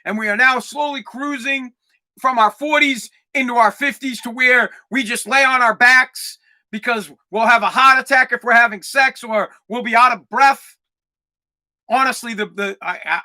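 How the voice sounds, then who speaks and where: A man in his forties hosting a comedic solo podcast, ranting in a profane, rambling way with lots of stammered restarts and bursts of shouting. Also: very high-pitched. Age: 40s. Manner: exaggerated, swings into loud shouting. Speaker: podcast host.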